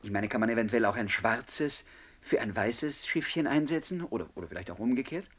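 A man's voice: mid-range voice, a little fast, a bit bright, natural voice. An actor playing a cheerful, penniless con man in a 1960s radio comedy, with a playful, theatrical, mock-courteous manner. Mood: mock-polite, sly. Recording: faintly noisy 1960s radio recording.

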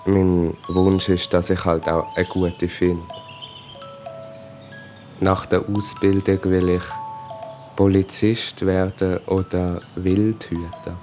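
A man's voice: low-pitched, little pitch movement, takes it slowly, darkish tone, faint music behind. A fifteen-year-old boy with a Swiss German accent, introducing himself casually. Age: 15, teenager.

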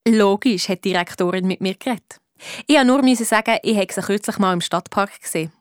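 An actress with a Swiss German accent playing a clever, cheeky amateur detective who narrates a children's radio play. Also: female voice, medium pitch, brisk, clean tone, no room sound, clean studio sound, lightly acted. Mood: narrating, pleased. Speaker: lead detective and narrator in a children's audio drama.

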